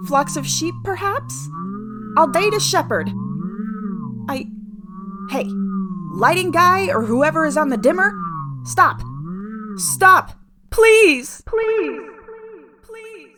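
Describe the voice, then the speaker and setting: A woman playing an earnest, excitable animal-loving veterinarian in an audio play, with expressive, theatrical delivery. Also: sits high, takes it slowly, long pauses, music in the background, heavily acted. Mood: pleading.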